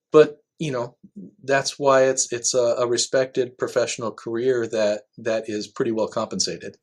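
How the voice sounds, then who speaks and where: A man speaking as an air traffic control manager in a podcast interview, explaining things conversationally with everyday examples. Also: medium pitch.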